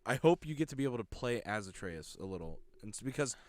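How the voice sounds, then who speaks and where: A man's voice: big pitch swings, quick pace, darkish tone, no echo. A man co-hosting a casual gaming podcast, talking in a rambling way with frequent laughs and restarts. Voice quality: low voice. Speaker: podcast co-host. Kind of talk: casual chat.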